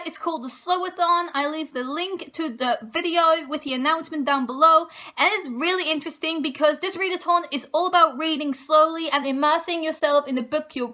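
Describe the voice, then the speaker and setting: Girl talking books, super high voice, chatty and eager, fixes herself mid-sentence. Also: bright, forceful tone. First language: Dutch. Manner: chatty, self-correcting, eager. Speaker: book vlogger. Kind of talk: casual book-list chat to camera.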